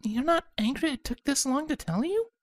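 A woman playing a gentle, loving pony wife in an audio story, tender and emotional. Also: mid-range voice, sing-song, moderate pace, no room sound, close to an everyday voice. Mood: tender, nervous. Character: gentle, loving adult female pony, newly pregnant wife. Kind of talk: audio story character acting.

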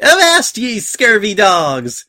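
Man putting on a shrill, manic pirate mare. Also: wildly swooping pitch, takes it slowly, full character voice. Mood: manic battle cry. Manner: yelling, theatrical pirate bluster. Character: crazed, furious young female pony pirate, frizzy-maned and manic.

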